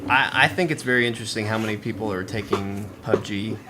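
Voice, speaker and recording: A man's voice: lowish voice, steady tone, fast talker, background noise. A man hosting a casual gaming podcast, chatting with friends. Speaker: podcast host.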